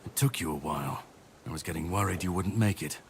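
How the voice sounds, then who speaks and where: Weary grim warrior, low-pitched, grave measured delivery, video game drama, clear background noise. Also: male voice. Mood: wry, relieved. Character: grim world-weary warrior man, self-styled savior on a mission.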